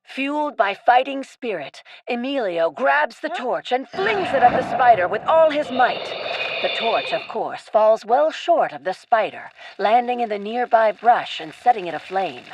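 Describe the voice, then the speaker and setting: A woman's voice: a little high, expressive, unhurried, a little hiss or hum, poor audio. A woman narrating an adventure radio play in a dramatic, scripted storytelling style.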